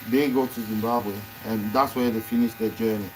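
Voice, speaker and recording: A man chatting casually in a barbershop, telling lively stories and repeating words when he gets excited. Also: on the low side, normal speed, background noise. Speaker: barbershop podcast guest. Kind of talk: casual chat.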